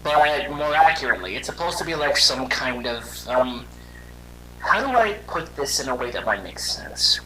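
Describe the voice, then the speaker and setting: A man voicing a weary young robot hedgehog, telling his story in a halting, conversational and dramatic way for a fan audio drama. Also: mid-range voice, very animated, crowd noise, natural voice, rough recording. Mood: hesitant, candid.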